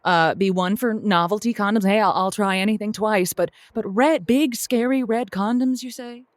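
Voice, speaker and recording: A woman playing a kindly, flustered advice show host who speaks haltingly, with wry tact, as in an improvised comic call-in show. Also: mid-range voice, dry recording, studio quality, natural voice. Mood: wry, advising. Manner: halting, wry, tactful, stammering over delicate words. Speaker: radio host.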